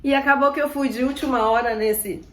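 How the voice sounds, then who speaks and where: A chatty woman talks straight to the camera, voice rough and hoarse from a cough. Her pitch sits a bit high, and she keeps it upbeat with quick asides. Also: steady tone. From Ilhabela, Brazil. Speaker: sailing vlogger. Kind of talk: casual chat to camera.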